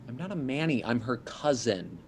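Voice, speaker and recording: A man's voice: medium pitch, very animated, normal speed, creaky, a little hiss or hum. A man playing a grumpy young cousin in his twenties in a comedy table read, exasperated and sardonic. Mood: irritated. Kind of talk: video-call table read of a comedy script. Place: video call from home.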